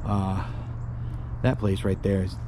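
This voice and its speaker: A man's voice: deep voice, slow. Man, thirties, handheld ghost-hunting vlogger, nervy, noisy ambience. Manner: jumpy, excitable, swears often. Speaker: paranormal vlogger. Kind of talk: handheld exploration vlog. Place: abandoned mansion and overgrown grounds, in and outdoors. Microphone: handheld selfie camera.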